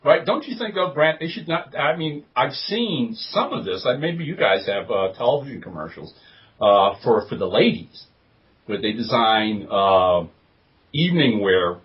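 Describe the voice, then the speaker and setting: A man's voice: mid-range voice, sing-song, measured pace. A man co-hosting a podcast in casual group chat, talking in a playful, wry way. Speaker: podcast co-host. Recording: muffled lo-fi, off the mic.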